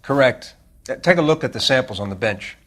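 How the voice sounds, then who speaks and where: A man's voice: mid-range voice, lively intonation, a little fast, fairly bright tone. A man playing a precise, matter-of-fact forensic examiner in a detective game. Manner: businesslike, precise.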